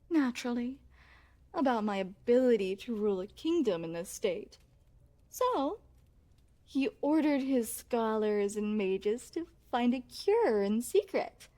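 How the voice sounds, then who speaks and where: A woman's voice: a little high, a little mellow. A woman playing a possessive, secretly shapeshifting queen in an ASMR roleplay, speaking formally and regally with doting menace. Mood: smug, confiding. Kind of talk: ASMR roleplay, scripted character acting addressed to the listener.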